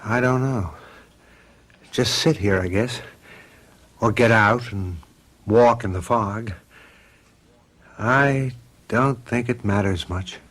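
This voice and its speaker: An actor playing a weary, resigned husband in a radio mystery drama, terse and wry. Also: male voice, lowish voice, slow pace, pauses often, fairly bright tone. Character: weary, resigned husband and father stranded in fog.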